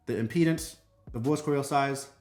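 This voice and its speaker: A man's voice: medium pitch, normal speed, darkish tone. A man hosting a product unboxing in an emphatic, casual, punchy style, like a hyped channel presenter. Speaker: product review channel host. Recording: roomy echo, faint music behind.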